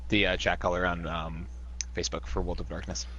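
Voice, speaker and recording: A very low-voiced man chats casually as a player in a game group. He halts often and rambles with fillers. There is a faint hiss in the background. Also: flat delivery, sharp tone, lo-fi sound. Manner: rambling, halting, many fillers. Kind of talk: casual chat.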